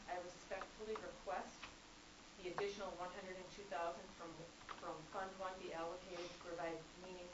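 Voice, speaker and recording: A woman, an accounting instructor, giving a formal prepared public comment at a college board meeting in a measured, businesslike way. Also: on the low side. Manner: measured, businesslike, reading figures. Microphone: speaking without a microphone. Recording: echoey distant room recording, dull, noisy.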